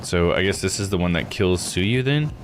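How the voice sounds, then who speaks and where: A man streaming a game, giving casual playthrough commentary as he thinks out loud and reacts. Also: deep voice, expressive, normal speed, background noise. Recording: game music behind the voice. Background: game music.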